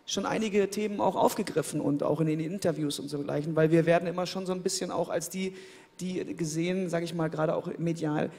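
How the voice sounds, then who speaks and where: A man in his thirties, interviewed on a studio stage, speaking into a handheld mic in a relaxed, thoughtful way, often repeating words as he searches for them. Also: a little high, brisk, gravelly. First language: German. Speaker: interviewed pop singer. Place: indoor studio stage, seated in armchair. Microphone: handheld microphone.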